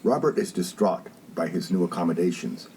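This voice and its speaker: A man's voice: deep voice, animated, fairly quick, mellow, poor audio. A man reading scripted scene-setting narration for a comedic radio play. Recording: faint background noise.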